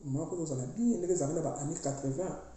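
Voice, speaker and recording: A woman's voice: sits low, fast, dark tone. A woman, preaching as a priestess to her online followers in an emphatic, insistent way. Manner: emphatic, preachy, mixes languages freely. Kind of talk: spiritual exhortation to followers. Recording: very echoey room.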